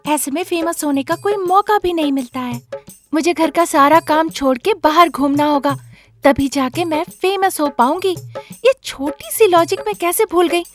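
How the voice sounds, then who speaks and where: A woman's voice: very high-pitched, normal speed, soft background music, pitched above the performer's own voice. A woman voicing a fame-obsessed young daughter-in-law in a cartoon story, whiny, eager and exaggerated. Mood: defiant, sly. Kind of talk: animated moral-story character voice.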